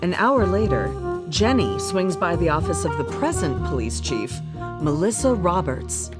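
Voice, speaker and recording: A woman's voice: on the low side, very animated, unhurried, loud music behind the voice. A woman delivering scripted narration for a radio drama.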